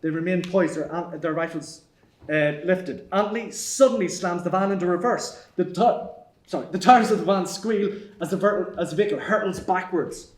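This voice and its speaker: A man's voice: high-pitched, moderate pace. A man reading stage directions as scripted narration at a screenplay table read. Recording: echoey room.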